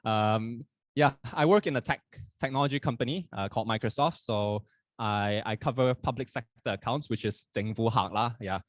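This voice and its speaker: A young man in his twenties, not from Hong Kong, co-hosting a podcast at a live university event. He talks in a relaxed, chatty way, often correcting himself mid-sentence. His voice sits mid-range and is recorded dry and close. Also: medium pitch, normal speed, no echo. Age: 20s. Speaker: podcast co-host. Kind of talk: casual chat at a live event.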